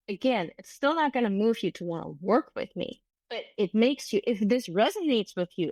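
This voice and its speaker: A woman's voice: mid-range voice, darkish tone, no echo. A middle-aged woman whose first language is German, hosting an unscripted solo podcast in an earnest, reflective way, often restarting her sentences. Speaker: podcast host and business coach.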